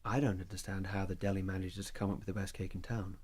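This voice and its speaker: An actor playing a career-driven, evasive lover in a naturalistic audio drama, speaking in measured, emphatic phrases. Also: male voice, low voice, little pitch movement, darkish tone, breathy edge. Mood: easygoing.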